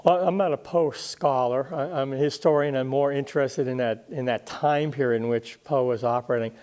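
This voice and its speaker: An older man speaking conversationally as a guest historian in an interview, thoughtful and halting, often doubling back on words. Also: mid-range voice, steady tone, a little mellow.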